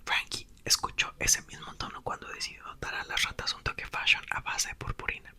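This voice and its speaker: A man's voice: fairly quick, bright, forceful tone. Whispering man reading ASMR storybook. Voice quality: breathy, unvoiced whisper. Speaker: ASMR creator reading a book aloud.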